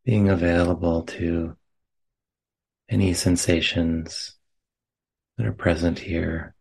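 Male meditation teacher, low register, very slow delivery, gentle phrasing with long silences between short lines. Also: little pitch movement, creaky, husky. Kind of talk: guided meditation. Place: meditation center.

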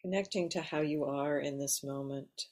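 A woman leading a guided meditation in a gentle, measured way, leaving long pauses. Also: deep voice. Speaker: meditation teacher. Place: meditation center.

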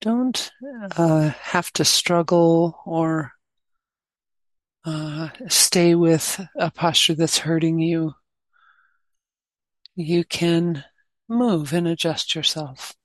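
A woman guiding a meditation as a teacher, speaking gently in short unhurried phrases with long pauses. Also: low voice, steady tone, no room sound. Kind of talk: guided meditation.

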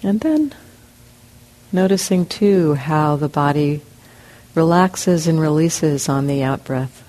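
A woman leads a guided meditation, speaking slowly in short phrases with long pauses. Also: low voice, expressive, dark tone, rough recording. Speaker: meditation teacher. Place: meditation center hall.

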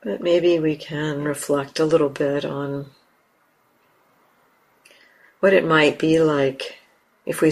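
A woman teaching guided meditation, speaking gently and unhurriedly in short phrases with long pauses. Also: deep voice, rough recording. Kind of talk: guided meditation, unscripted instruction.

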